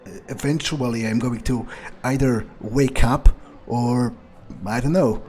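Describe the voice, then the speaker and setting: A man improvising in character as a newly turned vampire in a game, bewildered, irritable and foul-mouthed, muttering in halting, incredulous repetitions with a husky voice. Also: mid-range voice, fairly even intonation, darkish tone, natural voice. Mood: uneasy, bewildered.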